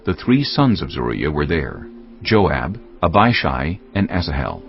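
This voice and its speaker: A male narrator reads scripture in a very low, gravelly voice. His pitch moves expressively through the scripted storytelling. Faint music plays behind a slightly dull recording. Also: big pitch swings, a little slow. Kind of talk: scripted dramatized Bible narration.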